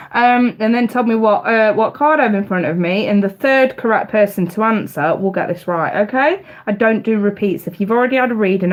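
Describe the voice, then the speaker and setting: A woman, casually hosting a live tarot stream, chatty and talking straight to her viewers, her voice bunged up with congestion. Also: mid-range voice. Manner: chatty, addresses viewers directly.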